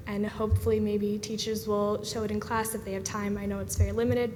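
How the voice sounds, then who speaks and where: A young woman in her late teens, the student association president, giving an earnest, steady report to a school board with frequent "um" pauses. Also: a little high. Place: school board meeting room. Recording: reverberant room with steady background noise.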